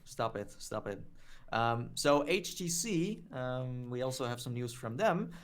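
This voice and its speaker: A man's voice: medium pitch, expressive, unhurried, a little hiss or hum. A man with a Dutch accent hosting a podcast in casual chat, loose and rambling, with many fillers and restarts. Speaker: podcast host.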